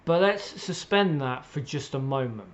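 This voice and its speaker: A man delivering an opinionated political rant in a blunt, sweary, exasperated way, with emphatic pauses and repetition, on slightly muffled audio. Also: medium pitch, animated. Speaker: political commentator.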